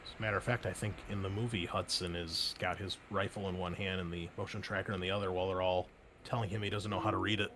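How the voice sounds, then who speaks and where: A man acting as game master, narrating a tabletop roleplaying game and explaining things in a conversational, halting way. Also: low voice, level tone, background noise.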